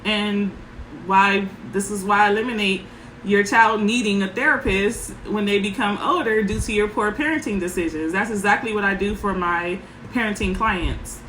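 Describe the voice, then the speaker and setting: A woman parenting coach with a soft voice, giving frank advice in short, emphatic sentences. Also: mid-range voice, moderate pace, roomy sound, a little hiss or hum, low-quality recording. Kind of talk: casual advice talk.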